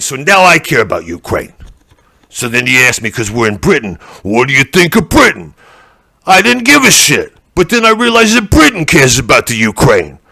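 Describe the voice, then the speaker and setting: A man as a loud bigoted podcaster, ranting and profane, lively swinging pitch, bright forceful tone, live on stage. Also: gravelly, dry recording, full character voice. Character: brash bigoted American male podcaster. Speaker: stand-up comedian. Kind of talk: stand-up character act.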